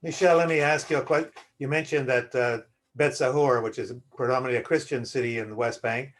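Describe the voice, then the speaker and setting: A man's voice: medium pitch, fairly even intonation, a little fast. A man moderating a webinar over a video call, cutting in to ask direct questions. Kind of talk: interview questioning.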